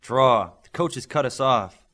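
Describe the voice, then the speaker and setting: A man's voice: medium pitch, lively intonation, unhurried, fairly bright tone. A man voicing a cocky, joking teenage boy superhero in a storybook reading, casual and punchy. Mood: stuffed, casual.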